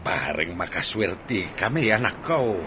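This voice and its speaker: A man's voice: sits low, very animated, measured pace, bright, forceful tone, a little hiss or hum, heavily acted. A man playing an affectionate, hopeful village fisherman and father in a radio drama, speaking in a folksy way.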